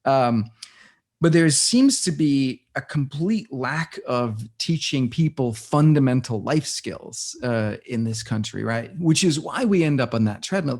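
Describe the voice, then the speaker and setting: A man talks as an interview guest, pitched in an ordinary middle range. He thinks out loud as he goes, restarting sentences and hunting for the right word before finishing his point. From Denmark. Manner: thinks aloud, with frequent restarts and searching for words. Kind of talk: casual conversational interview.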